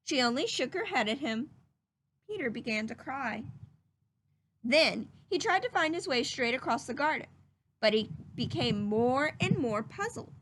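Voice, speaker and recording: A woman's voice: sits high, big pitch swings, unhurried, a bit bright, slight background noise, poor audio. A woman reading a children's story aloud in a teacherly, explanatory way, as if speaking to young listeners.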